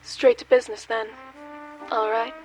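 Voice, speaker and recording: A woman's voice: on the high side, flat delivery, normal speed, over music, close to an everyday voice. A woman playing a mocking, teasing femme fatale in a comic noir radio play. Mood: sly, sardonic. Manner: teasing, sardonic, theatrical.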